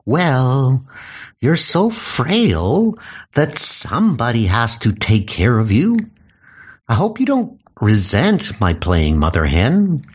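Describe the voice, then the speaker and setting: Woman acting fussy caring nurse, mothering, very slow delivery, swooping intonation, audio drama. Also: a bass voice, huge pitch swings, slightly rough, full character voice. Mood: fussing. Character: fussy, caring female nurse to a frail doctor.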